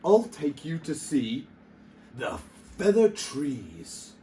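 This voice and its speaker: A man's voice: fairly high pitch, wildly swooping pitch, very slow, mellow, a little hiss or hum, voice pushed up, exaggerated voice. Man voicing a dizzy, helpful storybook owl. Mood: dazed, helpful.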